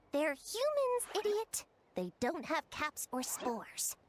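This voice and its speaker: A woman's voice: very high voice, wildly swooping pitch, takes it slowly, a little hiss or hum, heavily acted. A woman voicing a small, bossy, chatty cartoonish creature in a video game, childlike and emphatic. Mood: scornful. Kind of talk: video game character acting.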